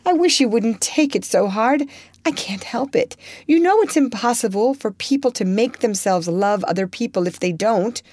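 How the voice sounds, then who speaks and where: A woman voicing a frank, warm-hearted young woman gently refusing a friend, in a storybook reading. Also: mid-range voice, sing-song, measured pace, no room sound. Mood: remorseful. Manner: earnest, remorseful.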